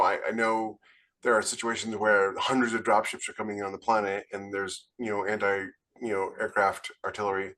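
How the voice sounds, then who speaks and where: A man's voice: lowish voice, fairly bright tone. A man co-hosting a podcast as an author, explaining his views in casual, conversational panel talk.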